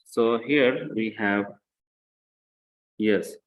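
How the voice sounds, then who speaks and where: Male lecturer, fairly low voice. Didactic classroom delivery, repeats key points, frequent check questions. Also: drawn-out words, low-quality recording. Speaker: accounting lecturer. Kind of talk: classroom lecture, explaining worked example.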